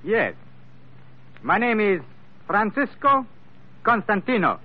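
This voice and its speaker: Suave villain, high swooping voice. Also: male voice, big pitch swings, slow pace, over loud music, full character voice. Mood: silky menace. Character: suave menacing villain, long-awaited rival of the captain. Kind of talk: 1940s radio adventure acting. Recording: tinny, faintly noisy old radio recording.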